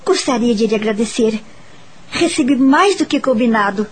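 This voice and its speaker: A woman's voice: fairly high pitch, lively intonation, normal speed, husky. An actress with a Brazilian accent playing a polite, timid young woman teacher who narrates her own story in a radio soap opera. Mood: timid, grateful.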